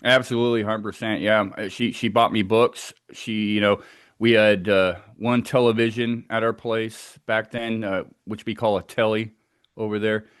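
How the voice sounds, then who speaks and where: Scottish man, low voice, dry sound. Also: normal speed, clear, resonant tone, dry recording. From Scotland. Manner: relaxed, chatty, with fillers and laughs. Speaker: guest researcher. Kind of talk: casual interview over a video call. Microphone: video call.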